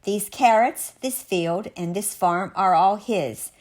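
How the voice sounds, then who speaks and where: A woman's voice: medium pitch, takes it slowly, a little mellow. A woman voicing a jolly, bustling old man who flies a balloon, with an exuberant, declamatory manner in rhyme, as in a storybook. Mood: proud, boastful.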